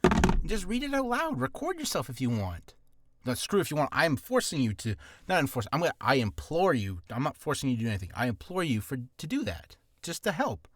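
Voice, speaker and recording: A man's voice: mid-range voice, sing-song, a little fast, dry recording, clean studio sound. A man giving beginner voice-acting advice in a casual vlog, nervous and rambling, with self-deprecating asides, from a small, hot, cramped room.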